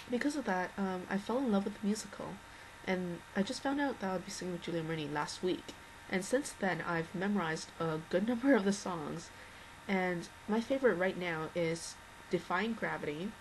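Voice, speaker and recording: Teen girl, low slightly tired voice, earnest rambling fan message, faint hiss behind. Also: moderate pace, rough recording. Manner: rambling, earnest, many restarts and fillers. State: slightly worn-out voice. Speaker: fan addressing a singer. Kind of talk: unscripted video message.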